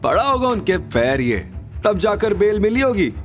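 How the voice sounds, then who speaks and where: A man acts out an arrogant, hot-tempered young character in an audio drama. The voice is high and very animated, full of scorn and indignation, over faint music. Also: normal speed. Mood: scornful. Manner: indignant, sneering, emphatic. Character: haughty, angry, scornful young spouse or in-law. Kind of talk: Hindi audio drama acting.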